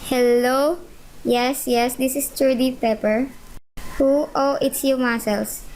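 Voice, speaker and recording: A woman playing an eager, pestering junior high schoolgirl with a whiny, pleading manner in a radio play. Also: steady tone, slow, a little mellow, rough recording. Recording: faint background noise.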